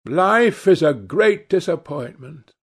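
Give me a man playing a wistful, sighing young gentleman, slow and raised in pitch. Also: animated, husky, full character voice. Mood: weary. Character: weary young gentleman, sighing and world-tired.

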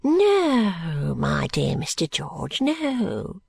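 A woman's voice: low-pitched, huge pitch swings, very slow, creaky, breathy edge, dry recording, clean studio sound, full character voice. A woman voicing a breathless, wheedling and spiteful old male moneylender in a storybook reading. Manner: fawning endearments breaking into angry curses.

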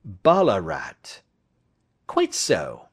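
A man's voice: deep voice, wildly swooping pitch, very slow, husky, full character voice. A man reading the part of a brilliant Victorian detective, who lectures in a precise, emphatic way. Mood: lecturing.

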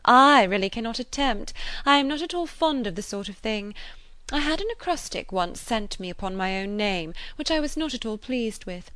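A woman's voice: fairly high pitch, expressive, moderate pace, a bit bright, smooth, dry recording, pitched above the performer's own voice. A pompous, self-satisfied lady from a classic novel, performed by a woman narrator. She talks emphatically, with affronted self-importance, in a dry, close recording. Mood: affronted, haughty. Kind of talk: classic novel character dialogue.

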